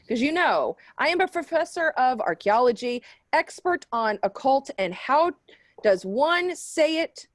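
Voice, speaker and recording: A woman's voice: fairly high pitch, dry recording. A woman playing a haughty, pompous archaeology professor who takes easy offence, in an improvised comedy sketch. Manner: pompous, indignant, deliberate phrasing. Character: haughty, easily offended female archaeology professor and occult expert.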